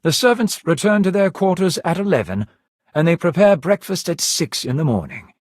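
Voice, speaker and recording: Formal manor servant, lively intonation. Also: male voice, a little high, dry recording, studio quality. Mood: formal, courteous. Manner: formal, courteous, measured diction. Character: polite, composed male servant of a grand manor. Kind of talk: scripted video game dialogue.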